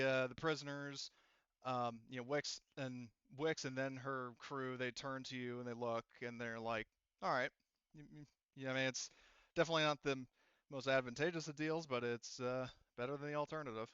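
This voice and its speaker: Smooth-voiced man as game master, slightly dull audio. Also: mid-range voice, steady tone, a bit bright, no room sound. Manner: halting, conversational explaining with quoted character lines. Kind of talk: narrating a tabletop roleplaying game, casual.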